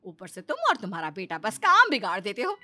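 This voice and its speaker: A woman's voice: mid-range voice, huge pitch swings, brisk, sharp tone, soft background music, full character voice. A woman playing a bitter, suspicious sister-in-law in a story, scolding and sarcastic.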